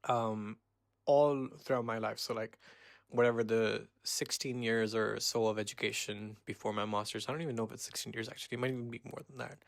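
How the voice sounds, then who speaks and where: Young man from Pakistan, twenties, solo podcast host; lowish gravelly voice, dry close sound, loose rambling delivery. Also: steady tone, brisk, dry recording. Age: 20s. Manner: rambling, many ums and self-corrections. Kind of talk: casual solo podcast monologue.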